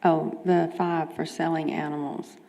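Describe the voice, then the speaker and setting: Lady on a city committee asking stuff, low gravelly mellow voice, big echoey room. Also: little pitch movement, a little slow. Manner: informal, searching for words. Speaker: committee member. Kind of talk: questions at a public meeting. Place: council meeting room. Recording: livestream from a reverberant meeting room.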